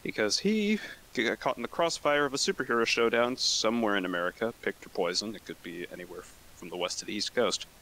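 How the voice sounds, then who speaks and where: Lowish guy voice, wry, walking the table through his character's backstory, faint hiss behind. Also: quick pace, bright, forceful tone, rough recording. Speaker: player.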